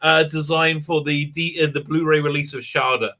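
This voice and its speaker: Bright, high-pitched middle-aged man. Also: fairly even intonation, moderate pace. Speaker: podcast guest panelist. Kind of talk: casual fan chat.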